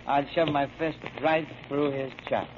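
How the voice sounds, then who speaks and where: An actor playing a feckless, remorseful grown son and brother who blames himself and promises big, in 1940s radio drama style. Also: male voice, a little high, fairly even intonation, measured pace, close to an everyday voice. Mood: drunken self-reproach. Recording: muffled, hissy old radio broadcast.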